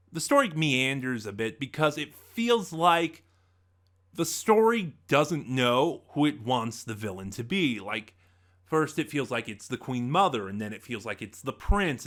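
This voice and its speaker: A man reviews in a casual, conversational way, thinking aloud and sharing his opinions. His voice is bright and forward, with lively swings in pitch. It sits at a middling height for a man. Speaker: reviewer, host of a review channel. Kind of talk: casual spoken audio drama review.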